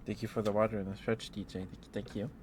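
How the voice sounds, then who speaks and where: A man's voice: on the low side, level tone, quick pace, very noisy. A man streaming ASMR, chatting casually and playfully to his live viewers in a rambling way.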